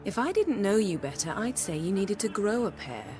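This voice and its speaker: A woman's voice: medium pitch, sing-song, moderate pace, over music, lightly acted. A woman playing a sardonic, commanding sorceress in a fantasy game, speaking formally and with cool sarcasm. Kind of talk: video game character acting.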